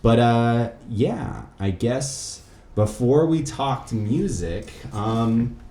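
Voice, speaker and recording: A man's voice: mid-range voice, expressive, extremely slow, warm tone. A man hosting a radio podcast in casual, joking, slangy interview chat, full of filler words. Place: indoor room with couch. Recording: roomy echo, faint noise.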